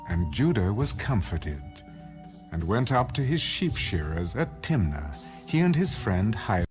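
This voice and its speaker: A man's voice: lowish voice, very animated, measured pace, a little mellow, soft background music. A man reading scripture as the narrator of a dramatized audio Bible.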